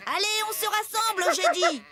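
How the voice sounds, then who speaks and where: A woman's voice: very high voice, normal speed, noisy background. A woman voicing an eager, hardworking, easily flustered young tractor in an earnest, exclamatory way for a French children's cartoon. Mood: exasperated, flustered.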